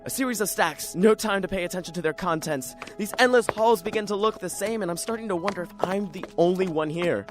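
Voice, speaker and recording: A performer voicing an excitable, jittery library regular who tells the story in dramatic, self-questioning first person, as in a radio drama. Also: medium pitch, fairly quick, hardly any pauses, over music, natural voice. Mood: urgent, intrigued. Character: jittery, excitable library regular narrating his own strange chase.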